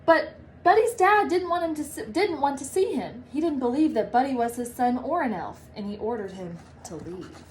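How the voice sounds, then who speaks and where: A woman reading a story aloud to children like a teacher, with animated, drawn-out storybook phrasing. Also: high-pitched, a little hiss or hum. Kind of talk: expressive read-aloud storytelling.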